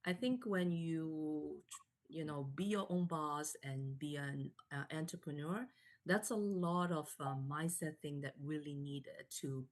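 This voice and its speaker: A woman in her forties from Canada, a guest in a live online interview, telling her story softly with many fillers and restarts. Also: sits low, unhurried.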